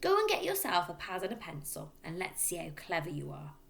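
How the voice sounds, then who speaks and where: A woman voicing a boastful, crooked father who sells used cars, with a loud, smug, emphatic delivery, in a children's storybook reading. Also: on the low side, huge pitch swings, brisk, vocal fry, heavily acted. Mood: bossy. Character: boastful, crooked middle-aged father, a used-car dealer.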